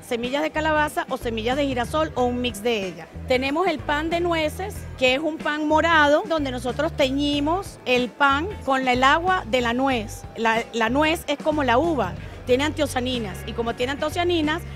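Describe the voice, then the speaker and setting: A woman with a high voice explains her artisan bakery in fluent, detailed answers. She speaks into a handheld interview mic at an indoor expo stand, with faint music behind. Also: normal speed, a bit bright.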